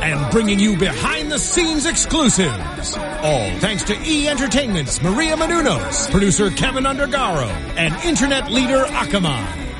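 A man with a high, wildly swooping announcer voice, booming and showy as he pitches the network. He talks over a loud music bed in a polished promo voice-over.

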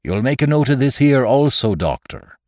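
A man reading aloud and voicing a pleading old male pirate in rough seaman's dialect, with a trembling voice. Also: mid-range voice, lively intonation, moderate pace, a little mellow, dry recording, clean studio sound, voice pushed up, natural voice. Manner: wheedling, trembling, earnest.

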